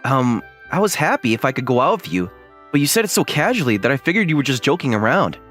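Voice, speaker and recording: Casting: a man plays a shy young manga-dub lead, lively swings in pitch, earnest and easily flustered, music underneath. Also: fast talker. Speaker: voice actor and narrator in a manga dub. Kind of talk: acted dialogue and first-person narration.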